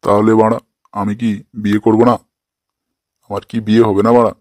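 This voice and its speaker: Cartoon grandpa, played by a man: lonely, grumbling, foul-mouthed, lower register. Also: little pitch movement, a little fast, a little mellow, no echo, natural voice. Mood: indignant. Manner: complaining, coarse, swearing. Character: grumpy, lonely old grandpa wanting a bride. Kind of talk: comic cartoon dialogue.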